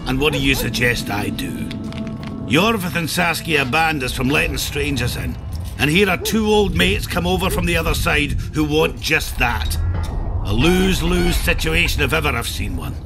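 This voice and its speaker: An actor playing a blustery, foul-mouthed dwarf warrior in a video game, with a gruff and colourful delivery. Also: male voice, fairly high pitch, lively intonation, normal speed. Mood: wary, blunt. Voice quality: gruff, gravelly. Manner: blunt, colourful, swearing. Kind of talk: video game cutscene acting. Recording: music behind the voice.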